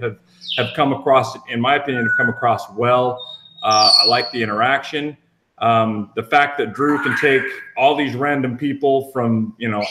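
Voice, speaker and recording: A man in his fifties, a voice actor and podcast guest, chatting casually about his work in a deadpan, wry way. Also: mid-range voice. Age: 50s. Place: home or personal recording booth. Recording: noisy booth recording with clicks.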